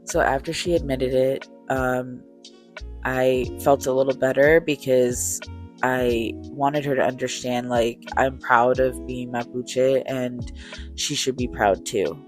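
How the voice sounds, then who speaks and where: A woman in her thirties chatting casually and relaxed, with easy fillers and light laughter, telling a storytime while beading. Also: rumbling low, slow. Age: 30s. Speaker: crafter and vlogger. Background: faint chill music.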